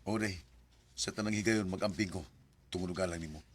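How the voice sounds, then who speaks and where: A man's voice: deep voice, fairly even intonation, a little fast, background noise, natural voice. A man playing an earnest, eager young suitor in a Bisaya radio drama, pleading tenderly with a Bisaya accent.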